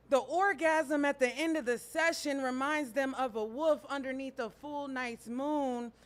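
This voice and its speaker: A woman performing her own spoken word poetry at an open mic, reciting in a slow, deliberate way. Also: sits high, fairly bright tone. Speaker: poet.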